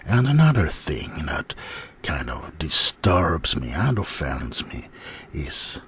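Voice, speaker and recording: Man playing a deep, slow, offended werewolf. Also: very animated, fairly bright tone, a little hiss or hum, full character voice. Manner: halting, aggrieved, often dropping to a whisper. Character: offended, self-pitying male werewolf named Fred. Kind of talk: ASMR roleplay monologue in a mock interview.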